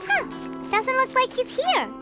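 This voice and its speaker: Tiny fairy sidekick, squeaky, curious, very high, bouncy pitch, game music behind. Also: female voice, sing-song, a little slow, bright, forceful tone, heavily acted. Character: small floating fairy companion, chirpy and curious.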